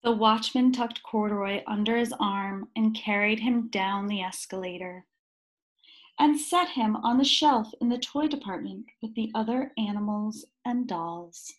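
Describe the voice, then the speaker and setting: Slow-paced woman reading a children's story. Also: mid-range voice, rough recording. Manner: deliberate, dramatic pauses and emphasis. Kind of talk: expressive read-aloud narration for young children.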